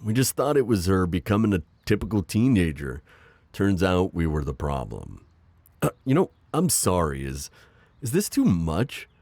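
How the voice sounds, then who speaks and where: A man voicing a gentle, self-deprecating divorced construction-worker dad in an ASMR roleplay, hesitant and stammering with soft jokes. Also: low voice, sing-song, normal speed, no room sound, full character voice. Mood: earnest. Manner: hesitant, full of ums and stammers, joking softly. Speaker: voice actor.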